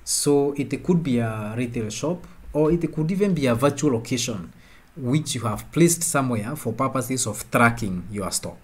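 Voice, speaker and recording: A man giving an informal tutorial, talking conversationally and often pausing to rephrase his points. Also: medium pitch, lively intonation, husky. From Kenya. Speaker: presenter of a software tutorial. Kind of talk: informal explanatory screen-share lesson.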